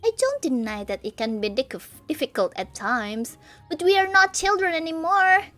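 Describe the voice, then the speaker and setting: A woman voicing a stern, duty-bound older sister who lectures earnestly. The voice sits high and bright, and faint music plays behind it. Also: lively intonation, moderate pace. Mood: reproachful. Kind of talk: reading visual novel lines aloud.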